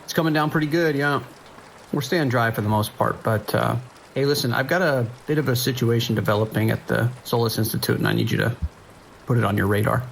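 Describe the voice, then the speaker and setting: A man playing a steady, weary sheriff's dispatcher in an audio drama, measured and procedural, with an American accent. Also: medium pitch, vocal fry, natural voice, low-quality recording. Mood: concerned, measured. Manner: measured, procedural, hesitant pauses. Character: steady, weary male island sheriff's dispatcher on night shift. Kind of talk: naturalistic audio drama acting. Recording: faint noise, rain behind.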